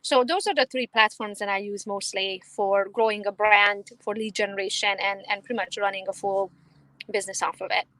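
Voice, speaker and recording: Confident bright-voiced Romanian woman. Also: mid-range voice, steady tone, no room sound, low-quality recording. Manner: confident, fast, emphatic, laughs easily. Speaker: realtor guest. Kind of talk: casual interview chat.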